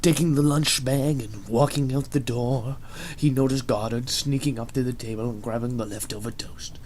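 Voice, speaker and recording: A man's voice: medium pitch, steady tone, moderate pace, a little mellow, slight background noise. A man giving a dramatic scripted reading of a story as its narrator. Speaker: narrator of a fan fiction reading.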